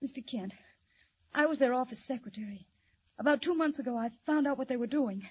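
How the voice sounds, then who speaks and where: A high, slightly husky voice playing a nervous, plucky young secretary in a 1940s radio play. She speaks with breathless, theatrical emphasis. The old recording is dull and muffled. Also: fairly quick. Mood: anxious, urgent. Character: frightened, defiant young secretary in hospital, telling her story. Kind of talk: 1940s radio drama acting.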